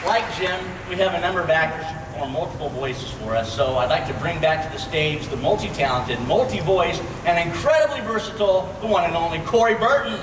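A man's voice: on the high side, animated, a little fast, hardly any pauses. A man hosting a panel, presenting to a live audience from a stage. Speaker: panel host. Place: large convention hall stage, recorded from the audience. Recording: distant echoey hall, loud crowd noise.

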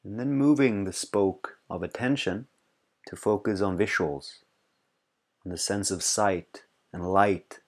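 Very deep-voiced man guiding meditation. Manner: calm, unhurried, pausing between short phrases. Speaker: meditation teacher. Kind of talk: guided meditation, slow and soothing instruction.

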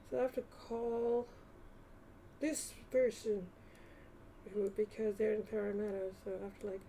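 A woman vlogger talks casually and hesitantly, thinking aloud as she browses. Her voice sits a little high with a mellow, relaxed tone. There is faint noise behind her in the recording. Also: rough recording. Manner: rambling, hesitant.

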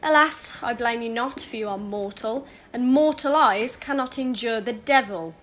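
Woman acting a high-voiced, scornful, furious widow. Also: fairly bright tone, a little hiss or hum, close to an everyday voice. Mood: scornful fury. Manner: theatrical, scornful, deliberate. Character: grieving, furious widow cursing her husband's killer. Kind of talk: Jacobean verse audio drama acting.

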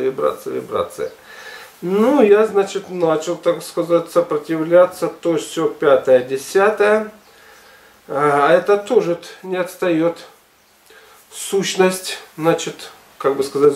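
An older man in his sixties tells a personal story in a colloquial, expressive manner. His voice sits a little high for a man. He often repeats words for emphasis, and the room around him is clearly echoey, as if the camera is a few metres away. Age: 60s. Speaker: health and gymnastics video blogger. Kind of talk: casual storytelling monologue to viewers. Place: living room at home, indoors.

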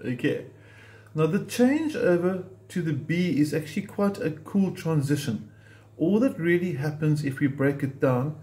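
A man's voice: fairly high pitch, warm tone. A man teaching ukulele chords step by step in a patient, methodical way, recorded in a home music room with the camera close on his instrument. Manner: patient, methodical, explains while playing.

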